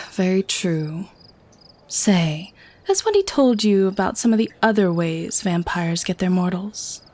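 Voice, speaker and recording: A woman's voice: mid-range voice, animated, slow pace, a little mellow. A woman playing a haughty, teasing vampire who keeps prisoners, in an ASMR-style audio drama. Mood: smug, matter-of-fact. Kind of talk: ASMR audio roleplay acting.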